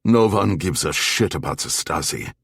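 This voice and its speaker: Man voicing a gruff old German barfly, deep-voiced. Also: animated, dry recording, studio quality, full character voice. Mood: dismissive. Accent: thick German. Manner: blunt, halting non-native English. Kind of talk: audiobook character dialogue.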